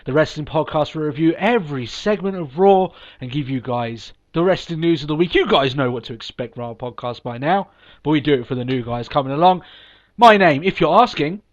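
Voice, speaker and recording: A man's voice: fairly high pitch, expressive, a little fast. Sniffly man hosting a podcast. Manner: upbeat, rambling, with frequent ums and restarts. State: sniffly from a cold. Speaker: podcast host. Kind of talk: casual podcast chat. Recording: dull lo-fi podcast recording.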